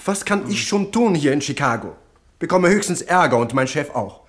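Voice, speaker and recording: An actor playing a reluctant manservant in a German radio comedy, formally polite and dryly ironic. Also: male voice, fairly high pitch, lively intonation, normal speed, close to an everyday voice. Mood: irritated, sceptical. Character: reluctant, wry manservant of a gangster circle.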